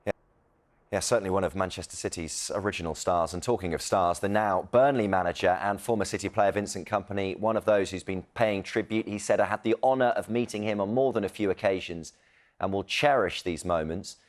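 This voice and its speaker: A man's voice: deep voice, fairly quick. A man presenting broadcast news live, moving between ad-libbed links and read tributes.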